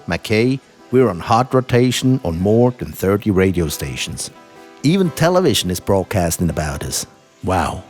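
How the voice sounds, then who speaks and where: A man's voice: medium pitch, a little mellow. A man narrating a travel film as a voice-over, in a tongue-in-cheek, enthusiastic way. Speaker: narrator of a band promotion road trip.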